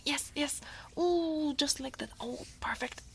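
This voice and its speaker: A woman with an Italian accent playing a guarded, workaholic dragon woman who is a senior coworker, in a soft, often whispered ASMR roleplay, wry and self-deprecating with sighs and soft laughs. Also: high voice, takes it slowly, gravelly, a little hiss or hum, heavily acted. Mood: relaxed. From Italy.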